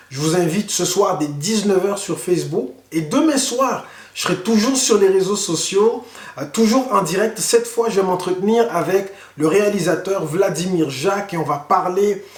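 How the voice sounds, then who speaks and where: A man, a pastor, giving an earnest online sermon and slowing down to stress key words. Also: high-pitched. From Canada. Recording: echoey room.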